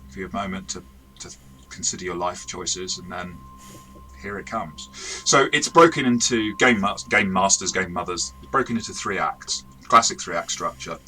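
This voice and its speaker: A man's voice: sits low, sharp tone, a little hiss or hum, rough recording. A man, the game master and co-host, chatting casually and rambling in a self-correcting way as he reviews a game.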